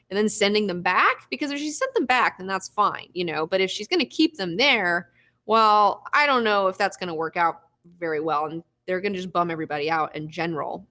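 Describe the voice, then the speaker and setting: A young woman hosts a live recap show in a loose, chatty way, laughing often and cursing freely. Her voice sits at an average pitch for a woman. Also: pushed and bright. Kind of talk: casual live recap chat.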